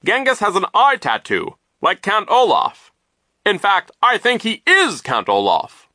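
A man voicing a flustered middle-aged gentleman who exclaims earnestly in an audiobook story. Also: high-pitched, huge pitch swings, measured pace, no room sound, clean studio sound, pitched above the performer's own voice, heavily acted. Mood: alarmed. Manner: gasping, exclaiming.

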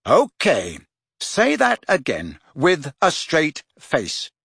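Brief: a man playing a level-headed teen wizard, blunt, lively delivery, slightly husky. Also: a little high, takes it slowly, dry recording, clean studio sound, heavily acted. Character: puzzled, practical teenage boy wizard.

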